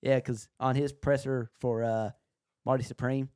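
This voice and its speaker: Male podcast co-host, medium pitch, dry sound, casual film chat. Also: little pitch movement, moderate pace, mellow, clear, resonant tone, dry recording.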